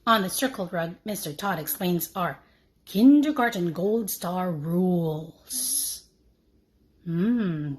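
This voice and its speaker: A woman reading a children's storybook aloud in an expressive, deliberate preschool-teacher manner. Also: on the low side. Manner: animated, deliberate.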